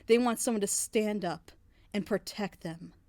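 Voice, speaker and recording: A woman playing a stern, dutiful princess mentor, admonishing in a measured way. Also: medium pitch, darkish tone, dry recording, lightly acted.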